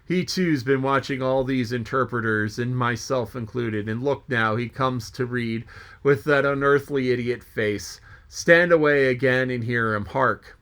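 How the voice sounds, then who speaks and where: A man's voice: medium pitch, unhurried, a bit bright, clear, resonant tone, close to an everyday voice. A man reading aloud as a jolly, joking sea mate who rambles and exclaims to himself. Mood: musing.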